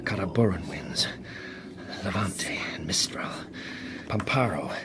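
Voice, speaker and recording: An actor playing a haunted, obsessed man who fears the living wind, brooding and halting in a dramatic radio monologue, often dropping into whispers. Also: deep voice. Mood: obsessive muttering. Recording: very noisy radio drama recording.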